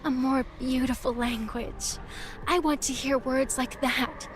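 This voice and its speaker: An actress playing a gentle young woman in a video game who speaks earnestly and formally of longing for kind words. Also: sits high, steady tone, unhurried, over music. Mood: yearning, grateful. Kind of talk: scripted game character dialogue.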